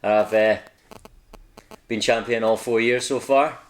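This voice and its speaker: Young scottish guy with a low voice, chatty hobby vlogger, rambles and corrects himself, unscripted. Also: steady tone, slow, poor audio. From Scotland. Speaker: hobby channel host. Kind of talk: casual unscripted vlog talk.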